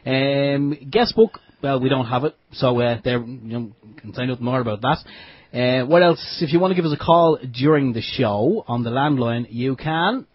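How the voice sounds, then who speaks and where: An Irish man co-hosting an internet radio show in a relaxed, joking way. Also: mid-range voice, moderate pace, breathy edge, no echo. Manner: easygoing, joking, chuckling. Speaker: radio co-host. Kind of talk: casual radio chat. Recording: dull lo-fi home radio stream.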